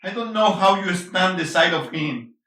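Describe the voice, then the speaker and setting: Echoey, forceful angry husband acted by a man. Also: high-pitched, normal speed. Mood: angry, bitter. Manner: confrontational, emphatic, swearing, switches between English and Spanish. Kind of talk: intense stage-play reading. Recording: echoey room, distant mic.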